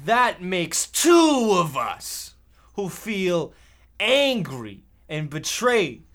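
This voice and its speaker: A blunt, naive boy acted by a man in a comic radio play. His voice sits high, and he delivers his lines slowly, with halting, stilted phrasing. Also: big pitch swings, a bit bright, full character voice. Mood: deadpan.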